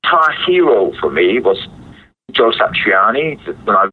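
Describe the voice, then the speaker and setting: A low, husky male voice comes through a thin phone line. He is a guitarist from Hong Kong whose first language is Cantonese, and he talks in a relaxed, chatty way, laughing easily. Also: unhurried. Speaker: guitarist guest. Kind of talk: phone interview. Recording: phone-line call audio.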